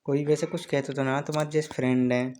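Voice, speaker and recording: A man in a casual phone chat, rambling and teasing in a drawn-out way. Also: mid-range voice, little pitch movement, darkish tone, slight background noise. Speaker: caller in a couple's phone chat. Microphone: phone call.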